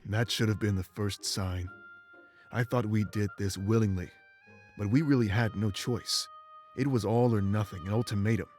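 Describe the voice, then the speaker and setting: An actor playing an ancient, remorseful immortal warrior in a video game, speaking in a solemn, measured, bitter way. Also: male voice, lowish voice, steady tone, darkish tone, soft background music, natural voice. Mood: bitter, weary. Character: ancient immortal former rebel warrior, remorseful and weary man. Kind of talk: dramatic game voice acting, confessional monologue.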